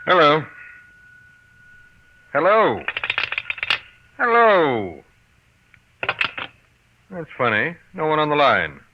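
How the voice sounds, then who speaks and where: Needling husband, wildly swinging pitch. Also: male voice, huge pitch swings, extremely slow, pauses often, heavily acted. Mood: puzzled. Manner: outwardly courteous, pointed, insinuating. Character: suspicious self-made businessman husband, smoothly needling his wife. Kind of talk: 1940s radio drama acting. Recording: muffled, noisy vintage radio recording.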